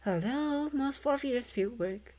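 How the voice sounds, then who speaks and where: A woman's voice: high voice, expressive, slow pace, warm tone, voice pushed up. A woman voicing a friendly, chatty ghost with folksy, loose-grammared speech in a storybook read-along. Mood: folksy, wistful.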